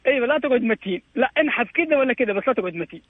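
Casting: male caller, 30s, Saudi accent, high-pitched, emphatic casual talk over a muffled phone line. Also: expressive, racing, breathy edge, dry recording. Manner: emphatic, repeats himself for stress. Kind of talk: casual personal chat.

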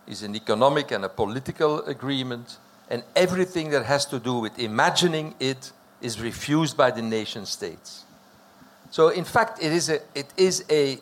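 A man's voice: medium pitch, a bit bright. A man speaking on a panel, arguing in emphatic, declarative statements and repeating phrases to drive points home. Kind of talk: argumentative discussion.

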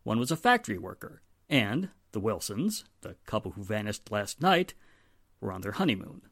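A weary, sardonic police inspector, played by an actor in an old-time radio drama style. He is emphatic and dryly exasperated, with a very animated, swooping delivery. The sound is dry, without room echo. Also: medium pitch, normal speed. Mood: matter-of-fact. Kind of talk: 1940s radio drama acting.

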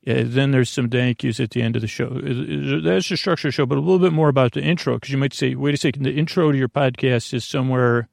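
A man with a creaky voice hosting a bedtime podcast, rambling haltingly through tangents in a soothing, lulling way. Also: mid-range voice, fairly even intonation, darkish tone, dry recording, clean studio sound. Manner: meandering, halting, full of tangents. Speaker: podcast host.

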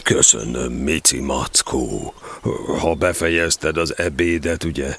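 A man's voice: extremely low pitch, creaky, fairly bright tone, a little hiss or hum, exaggerated voice. A man playing a gloomy, sardonic donkey in a children's audio drama, grumbling and lecturing morosely. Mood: gloomy, sarcastic.

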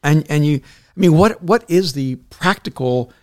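A man's voice: a little high, lively intonation, normal speed. A middle-aged man from El Salvador, a pastor and author speaking as a podcast guest, talking in an earnest, storytelling way with frequent restarts. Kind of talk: reflective casual conversation.

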